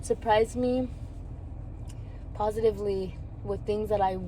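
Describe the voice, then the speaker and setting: A woman's voice: mid-range voice, fairly even intonation, unhurried, dark tone, slight background noise, rough recording. A woman in her early twenties talking candidly about her feelings in a rambling personal vlog, laughing now and then. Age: 20s. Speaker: vlogger.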